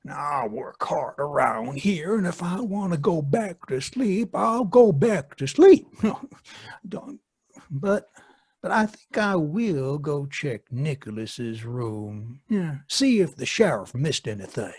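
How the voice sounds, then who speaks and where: A man acts out a folksy, grumbling farm husband in an old-time radio play. His voice is husky and swings widely in pitch. He takes his time, muttering and stammering in a dry recording. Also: big pitch swings, takes it slowly, a little mellow, dry recording, full character voice. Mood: muttering, curious. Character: excitable, grumbling farmhand husband Herman, rural and superstitious. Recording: dry live video-call recording.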